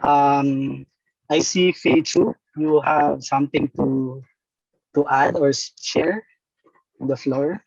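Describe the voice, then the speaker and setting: A man's voice: fairly high pitch, fairly even intonation, slow, vocal fry, darkish tone, slightly rough, no echo. A man moderating a webinar, polite and hesitant, with many fillers. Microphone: video call. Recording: dull dry webinar audio.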